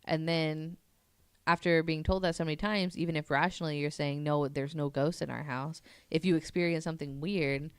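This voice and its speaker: A woman's voice: low-pitched, steady tone, normal speed, clear, resonant tone, no room sound. A woman talking casually and informally as a guest on a podcast, walking through what she has read. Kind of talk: casual podcast talk, presenting and paraphrasing an article.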